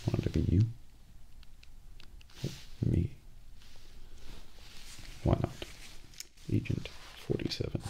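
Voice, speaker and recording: A man playing a calm, deadpan professional assassin in a soft-spoken ASMR role play, often near a whisper and very deliberate. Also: lightly acted, low-quality recording. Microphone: close binaural microphone. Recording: faint background noise, close binaural mic.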